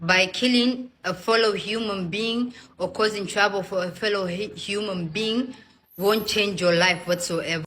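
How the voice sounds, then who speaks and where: A woman speaks slowly at a medium pitch, making an earnest appeal straight to her listeners. Her delivery is emphatic and pleading, with deliberate phrasing. Some background noise sits under the voice. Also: pushed and bright. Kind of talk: earnest direct-to-camera appeal. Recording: noisy, with background ambience.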